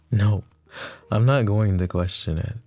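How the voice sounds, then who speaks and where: Man playing a very deep, gravelly mafia lover. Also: slow, darkish tone, dry recording. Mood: wary, wry. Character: smitten mafia boss, flirty, self-deprecating, tender and possessive lover. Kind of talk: intimate ASMR romance roleplay.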